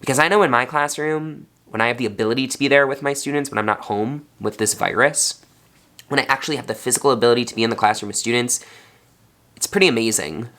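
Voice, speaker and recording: A man's voice: medium pitch, quick pace, bright, forceful tone. A young man, a fourth grade teacher, talking earnestly in a personal vlog, sounding congested. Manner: earnest, heartfelt.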